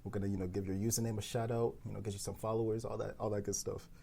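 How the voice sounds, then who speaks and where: Low gravelly guy cohosting a podcast, dark mellow tone, laid-back, says you know a lot. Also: very fast. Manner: relaxed, filler-heavy, laughs often. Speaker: podcast co-host. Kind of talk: casual round-table chat.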